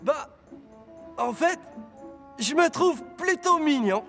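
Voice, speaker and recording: A man's voice: very high-pitched, expressive, slow pace, gravelly, bright, forceful tone, soft background music, exaggerated voice. A man voicing a scruffy, good-natured young engine in a children's cartoon, with a boyish, earnest manner. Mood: pleased. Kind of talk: dubbed children's cartoon acting.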